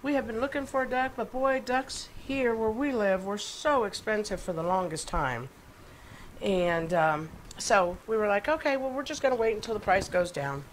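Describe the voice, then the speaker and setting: A middle-aged woman with a stuffed-up, nasal voice, chatting excitedly through a cooking demonstration in her home kitchen. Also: medium pitch, normal speed, slight background noise, low-quality recording. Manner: chatty and excitable, with many ums and asides.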